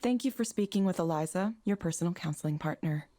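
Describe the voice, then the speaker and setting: An actress playing a calm, polite counsellor who reads from an AI therapy script in a visual novel game, measured and professional. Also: female voice, on the low side, no echo, lightly acted. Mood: calm, neutral.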